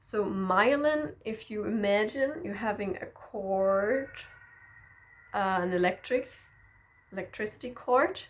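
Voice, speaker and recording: A woman talks slowly and unhurriedly as she teaches self-development to camera, her pitch sitting in the middle range. She is reflective and encouraging, pausing to check in with a gentle questioning tag. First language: Danish. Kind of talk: personal teaching monologue to camera.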